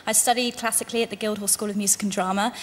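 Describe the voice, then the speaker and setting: A woman's voice: medium pitch, fairly even intonation, very fast, slight background noise. A woman from Birmingham, England, chattily introducing herself as a singing contestant. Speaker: contestant singer. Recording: reverberant TV studio.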